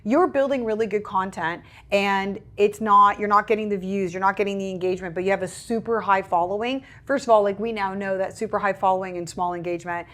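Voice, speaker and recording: A young woman speaks English, her voice sitting at a middle pitch. She chats freely and acts out her own past remarks with a lift in her voice. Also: steady tone, brisk, few pauses, fairly bright tone. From Portugal. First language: Portuguese. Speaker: content creator guest. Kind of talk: casual interview chat.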